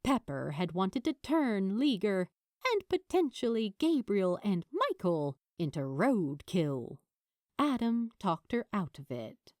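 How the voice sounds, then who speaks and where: Woman, story narrator, unhurried, lively intonation, dry close sound, dramatic. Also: mid-range voice, dry recording. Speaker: fan fiction narrator. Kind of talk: expressive scripted story narration.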